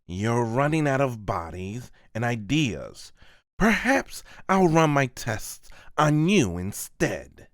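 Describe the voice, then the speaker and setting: A man's voice: mid-range voice, sing-song, takes it slowly, dry recording, clean studio sound. A man voicing a mocking, menacing lord who experiments on people, with theatrical drawling threats, in an amateur manga voice-over. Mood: contemptuous threat.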